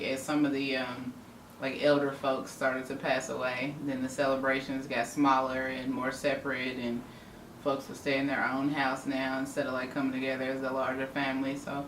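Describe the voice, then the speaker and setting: A woman's voice: a bass voice, fairly even intonation, a little fast, a bit bright. A woman from the US Midwest with a Southern-tinged accent, chatting candidly to camera with her wife and often trailing off with "I don't know.". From Indianapolis, Indiana, US Midwest. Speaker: vlogger. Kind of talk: casual couple's chat to camera. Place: home room. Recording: roomy, distant mic with faint noise.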